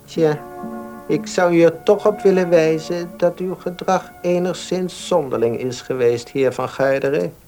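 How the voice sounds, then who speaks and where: An actor playing a polite, cautious baker and protective older brother in a radio play, with a formal, deferential and hesitant manner. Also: male voice, a little high, measured pace, mellow, faint music behind. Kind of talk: Dutch radio play acting.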